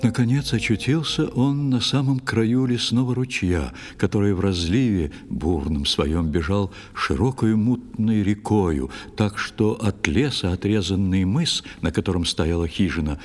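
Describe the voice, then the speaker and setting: A man narrating an audio play in scripted verse, with a theatrical, expressive literary delivery. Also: fairly low pitch, a little slow, few pauses, faint music behind.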